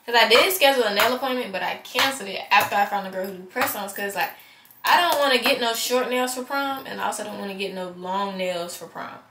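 A woman's voice: medium pitch, fast talker, sharp tone, slight background noise. A teenage girl, vlogging in a casual, rambling way, with a stuffy, congested nose. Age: teens. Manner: chatty and candid, rambling asides. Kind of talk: casual vlog chat.

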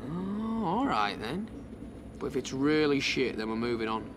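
A man's voice: mid-range voice, sing-song, unhurried, background noise. An actor playing a young man, dry and sarcastic. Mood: grumbling. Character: young British man, grumbling friend.

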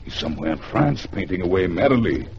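A man playing a rattled, practical gentleman in a vintage radio drama style. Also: low-pitched, very animated, brisk. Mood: dismissive, uneasy. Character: rattled, practical gentleman goldsmith, reasoning or pleading. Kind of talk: 1950s radio acting. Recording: noisy, dull vintage radio recording.

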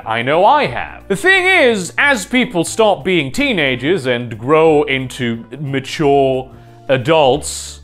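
Loud, animated young man vlogging. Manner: animated, emphatic, wide swings in pitch. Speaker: video essay host. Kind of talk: talking-head advice vlog. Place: home room with bookshelves. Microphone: lapel mic.

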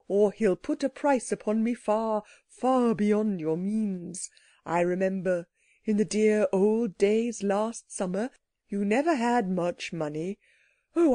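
A woman voicing a soft, tearful Psammead creature. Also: mid-range voice, dry recording, clean studio sound. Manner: pleading and confiding in a hushed way. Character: shabby miserable small sand-fairy creature, sulky and self-pitying.

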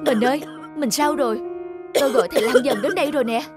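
Desperate, pleading young peasant husband. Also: male voice, extremely high pitch, fast, music in the background. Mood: pleading, anxious. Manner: pleading, urgent. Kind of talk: animated folk-tale dubbing.

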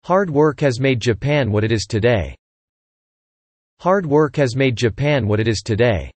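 A man reads English vocabulary and example sentences for learners, careful and deliberate, saying each line twice. His voice is a little husky and moves up and down a lot between phrases. The sound is dry and close, with no room in it. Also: medium pitch, lively intonation, a little mellow, dry recording. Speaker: English word reader. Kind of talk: slow repeated vocabulary reading.

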